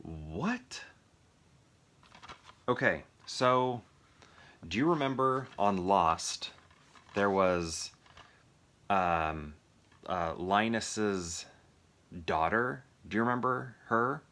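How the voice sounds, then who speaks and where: A man's voice: fairly low pitch, animated, extremely slow, pauses often, a bit bright. A man chatting casually and off the cuff to viewers as a movie reviewer, rambling and thinking aloud as he questions himself. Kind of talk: casual impromptu chat to viewers.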